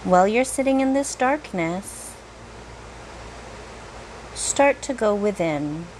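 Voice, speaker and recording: A woman leading a guided meditation in a gentle, unhurried manner, leaving long pauses between phrases. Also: medium pitch, expressive, a little hiss or hum, rough recording. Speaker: meditation guide, reiki teacher. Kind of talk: guided meditation, slow soothing narration.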